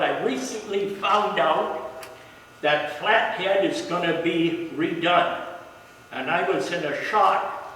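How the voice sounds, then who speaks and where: Loud, slow-talking resident at the podium. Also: male voice, high voice, slight background noise. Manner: friendly, earnest, explanatory. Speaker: resident making public comment. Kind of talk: public comment. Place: city council chamber. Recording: echoey chamber mic from a distance.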